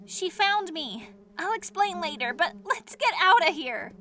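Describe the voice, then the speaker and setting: A woman playing a feisty, excitable young swordswoman in a fantasy audio drama, on a noisy hobby recording. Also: very high-pitched, measured pace, heavily acted. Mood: brisk.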